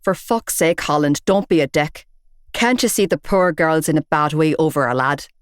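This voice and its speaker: A woman voicing a big, older bearded male gangster with a Belfast accent, gruff but reassuring, in a storybook reading. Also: low-pitched, lively intonation, moderate pace, no echo, clean studio sound, pitched below the performer's own voice. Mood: annoyed.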